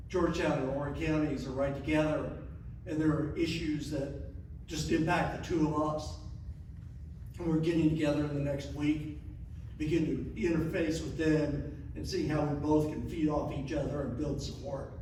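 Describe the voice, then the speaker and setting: Man, community meeting update, slightly high voice, distant mic in an echoey room. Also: steady tone, normal speed, a little mellow, slight background noise. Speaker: group member giving an update. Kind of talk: informal meeting report.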